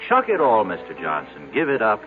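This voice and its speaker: An actor playing a folksy small-town doctor in an old-time radio drama, teasing with drawn-out questions and wry remarks. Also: male voice, on the low side, huge pitch swings, faint music behind. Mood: amused, teasing. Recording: tinny, noisy vintage radio recording.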